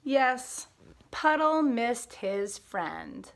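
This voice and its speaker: A woman reading a picture book aloud to a child in an expressive, sing-song storytelling way. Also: a little high, extremely slow, slight background noise. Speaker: parent reading along with child.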